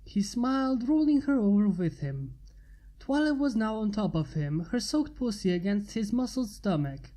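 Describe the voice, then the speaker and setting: A woman's voice: medium pitch, sing-song, normal speed, mellow. A woman reading fan fiction aloud as a narrator.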